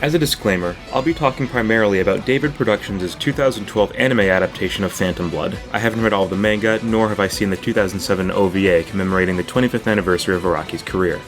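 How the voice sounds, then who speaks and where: Low-voiced man narrating over music. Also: very fast, hardly any pauses. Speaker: video essayist, retrospective narrator. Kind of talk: scripted retrospective narration with dry jokes.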